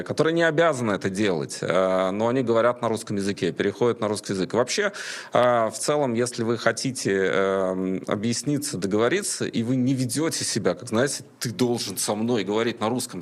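A man from Latvia answering interview questions in a measured, reflective way. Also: lowish voice. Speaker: guest.

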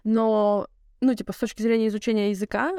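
A woman's voice: mid-range voice, level tone, warm tone, clean tone, no echo, studio quality. A young woman co-hosting a podcast, chatting casually with lots of filler words. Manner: rapid, filler-heavy slangy talk. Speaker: podcast co-host. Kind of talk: casual chat.

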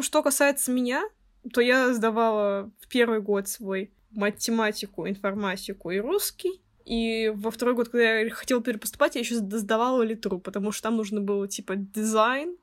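A young woman in her twenties hosts a podcast with her friends, with a fairly high voice. She talks quickly and playfully and slips into cheerful exaggeration. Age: 20s. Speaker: podcast co-host. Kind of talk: casual podcast chat.

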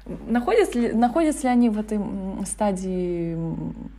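A woman's voice: medium pitch, very animated, moderate pace, dark tone. A woman interviewing a guest, asking questions in an inquisitive way and thinking aloud as she forms them. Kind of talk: conversational questions.

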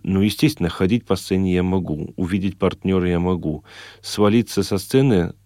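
Male interview guest, deep voice, very dry studio sound, reflective conversational delivery. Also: moderate pace, warm tone, no room sound. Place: radio studio.

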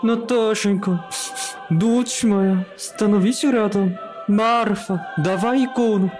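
A man playing an excitable, bossy father in a radio play, speaking in a theatrical, exclamatory and deliberate way. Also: high voice, soft background music. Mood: tearfully joyful. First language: Korean. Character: excitable, bossy father scheming to marry off his daughter. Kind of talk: radio play acting of a classic story.

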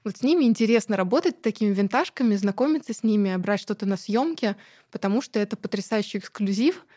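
Chatty woman guest, mid-pitched voice. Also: quick pace. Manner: chatty and animated, with many fillers and light laughs. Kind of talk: casual conversational interview.

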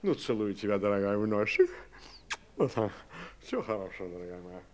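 A man's voice: lowish voice, sing-song, fairly quick, slight background noise. An actor playing a fussy, self-important, grumbling middle-aged husband and father in a 1960s radio play. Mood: fretful, bustling. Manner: self-important, fretful, theatrical.

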